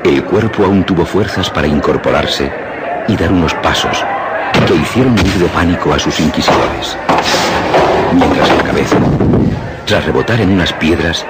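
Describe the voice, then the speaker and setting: A man's voice: sits low, normal speed, pauses often, heavy background noise. A man narrating a horror radio play in a solemn, ominous, theatrical style of scripted storytelling.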